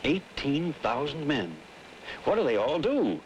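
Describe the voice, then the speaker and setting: A man's voice: on the high side, sing-song, a little slow, rough recording. An American man acting as a chatty, inquisitive frequent flyer in an old educational film, with a folksy manner. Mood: impressed, curious. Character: curious, chatty male frequent flyer, easily impressed. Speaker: actor as airline passenger. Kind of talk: scripted 1960s educational film dialogue. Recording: old 1960s film soundtrack, faint noise.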